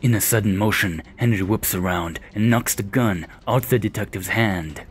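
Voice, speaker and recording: A man narrates scripted action for an audio drama. His voice is notably deep. Light music plays behind him. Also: normal speed.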